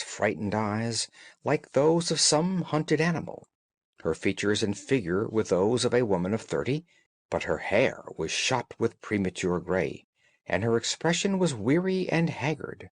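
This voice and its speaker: A male narrator reads a classic-style story aloud. His delivery is expressive, with lively movement in pitch, and the sound is close and dry. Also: no room sound. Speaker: audiobook narrator.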